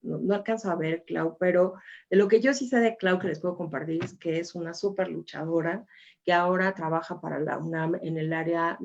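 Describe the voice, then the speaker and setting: Low gravelly woman moderating. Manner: informal, warm introductions with fillers. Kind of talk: webinar moderation. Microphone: video call. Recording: dull lo-fi video call audio.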